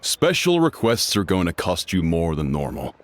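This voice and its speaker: A proud middle-aged restaurant chef in a video game. His delivery is hearty and boastful, with very animated intonation. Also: sits low, moderate pace, slight background noise.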